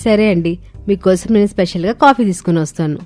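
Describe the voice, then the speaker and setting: A woman's voice: medium pitch, a little fast, darkish tone, lightly acted. Woman acting a naive young daughter-in-law, music behind. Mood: eager. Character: silly, eager, scheming young daughters-in-law in a family tale. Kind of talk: cartoon moral-story dialogue. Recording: music under the voice.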